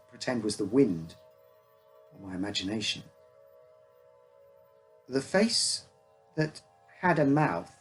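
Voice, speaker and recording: A man with a husky voice reading his own first-person uncanny story as a scripted narration. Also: medium pitch, darkish tone.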